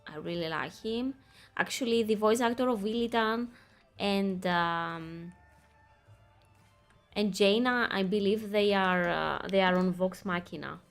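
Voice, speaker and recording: A woman's voice: mid-range voice, measured pace, clean tone, soft background music. A young woman streaming a game, chatting casually with her viewers, laughing easily and reading quest text aloud. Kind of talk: casual gaming commentary.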